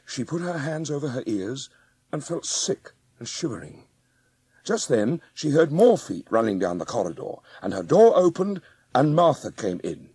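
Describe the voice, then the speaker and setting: A man reading scripted storybook narration as the narrator of a dramatised audiobook, with a husky voice. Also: mid-range voice, big pitch swings, moderate pace, mellow, dry recording.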